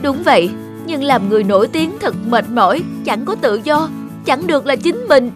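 A woman's voice: high-pitched, animated, moderate pace, over music. Woman voicing a weary young male singer. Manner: plaintive, complaining.

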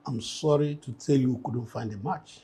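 A man acting a grave, blunt doctor, husky and gravelly, formal and insistent as he speaks. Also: mid-range voice, moderate pace, dark tone. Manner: formal, insistent, addressing with courtesy. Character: grave, blunt doctor breaking bad news. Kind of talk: melodramatic screen acting.

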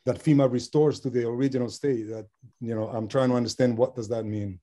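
A man's voice: mid-range voice, fairly even intonation, brisk, mellow. A man in an online meeting discussion, speaking hesitantly and repeating his words. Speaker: meeting participant. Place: video conference meeting.

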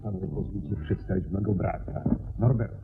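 An actor playing a genial, courteous gentleman of the manor in a Polish radio play, formal and hospitable. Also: low voice, fairly quick, lightly acted. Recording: narrow phone-like band, hissy old radio.

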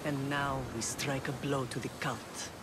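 A woman playing a solemn, measured middle-aged Spartan mother in a video game, warm toward her son. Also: a bass voice, fairly even intonation, very noisy. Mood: resolute. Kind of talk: dramatic video game acting. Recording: loud music behind.

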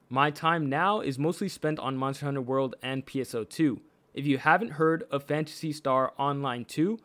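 An American man from New York with a faint New York accent, narrating a scripted video in a slangy, playful, informal way as a gaming YouTuber. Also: mid-range voice. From New York, USA.

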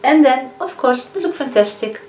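A Dutch-accented woman in her fifties talks through beauty products in a relaxed, chatty way, catching and fixing her own slips. Her voice sits fairly high for a woman. The room is echoey, with a faint hiss underneath. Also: moderate pace. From Netherlands. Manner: chatty, self-correcting, hesitant fillers. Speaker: beauty vlogger. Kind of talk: casual product review. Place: living room, indoors. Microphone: camera in front of a seated speaker.

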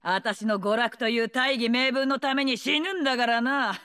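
An actress voicing a brash, bloodthirsty older female warlord in a video game, with rough slangy taunts, a snarling delivery and mocking, gleeful menace. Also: a little high, normal speed, pushed and bright. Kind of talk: video game character voice lines.

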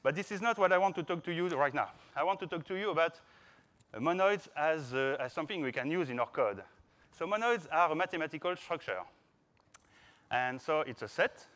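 Playful Frenchman speaking on stage, higher-pitched voice. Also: lively intonation, brisk, fairly bright tone. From France. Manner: playful, informal, calls out to the audience. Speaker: conference speaker, software developer. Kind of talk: conference talk to an audience. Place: large conference hall stage, indoors.

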